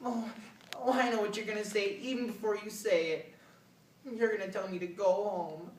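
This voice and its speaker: A man's voice: high-pitched, fairly even intonation, moderate pace, natural voice. A man playing an earnest nine-year-old American farm boy, pleading in a folksy, stammering theatrical monologue. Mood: desperate pleading. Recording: roomy, distant mic with background noise.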